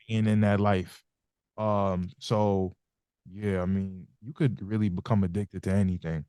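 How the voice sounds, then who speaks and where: A man hosting a podcast interview, asking thoughtful, measured questions. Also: low voice, fairly even intonation, mellow, clear, resonant tone, no echo, clean studio sound. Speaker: podcast host.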